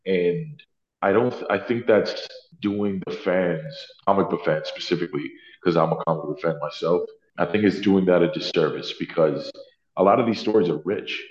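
A man with a deep baritone voice, talking earnestly as an actor guest in a casual interview, often pausing to correct himself. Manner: earnest, thoughtful, self-correcting. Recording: roomy, slightly dull sound.

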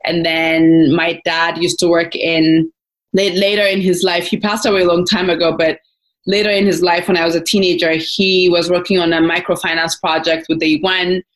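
A young woman from Ethiopia answers interview questions in a relaxed, rambling way. Her voice sits a little low, and she pauses often with fillers and restarts her sentences as she thinks. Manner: thoughtful, many fillers and restarts. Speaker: founder, guest. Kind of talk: casual interview talk.

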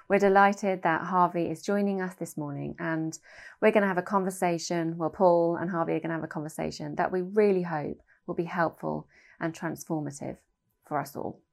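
A British woman addresses a congregation as its host. Her voice is fairly low and often settles into a gravelly creak. She speaks earnestly and with measure, as if from prepared notes. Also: steady tone, moderate pace. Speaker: church host introducing a guest.

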